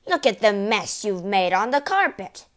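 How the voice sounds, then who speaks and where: A woman voicing a blustering, indignant middle-aged father in a storybook, shouting in outrage and panic. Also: on the low side, big pitch swings, unhurried. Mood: angry.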